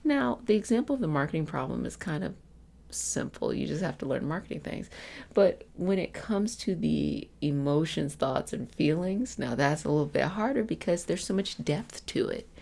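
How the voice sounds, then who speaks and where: A woman with a lowish voice and plenty of vocal fry talks like a self-help coach in an ASMR session. She sounds reassuring and conversational, soothing the listener as she explains things. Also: lively intonation, moderate pace, mellow. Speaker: ASMR host and energy healing practitioner.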